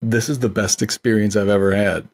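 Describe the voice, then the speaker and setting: A man with a slightly low, faintly husky voice talks casually as a guest in a film discussion. He tells stories in an animated way, slipping into little acted-out quotes. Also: fairly quick. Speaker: podcast guest, film director.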